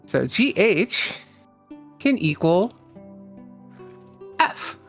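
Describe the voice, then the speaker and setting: Slow, joking guest over faint music. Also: medium pitch, very animated, a bit bright. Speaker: stream guest. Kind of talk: casual stream chat.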